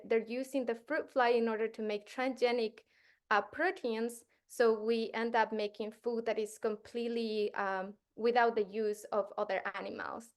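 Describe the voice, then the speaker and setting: A woman's voice: a little high, clean tone. A woman in her thirties from Ecuador, a postdoctoral researcher giving a casual science workshop to teachers with frequent fillers and restarts. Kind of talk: workshop presentation.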